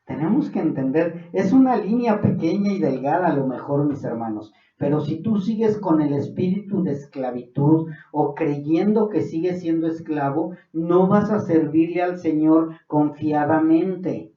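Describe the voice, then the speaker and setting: A man's voice: fairly high pitch, a little slow, few pauses. Mexican male preacher in an echoey hall. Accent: Mexican Spanish. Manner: earnest, rising to fervent emphasis. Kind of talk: Bible study sermon. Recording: distant, dull, echoey room.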